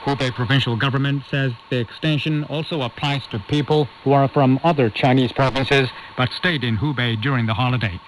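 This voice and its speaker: A man reading a scripted news bulletin as anchor, formal and measured, heard over shortwave radio. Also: mid-range voice, a bit bright. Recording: noisy shortwave radio reception.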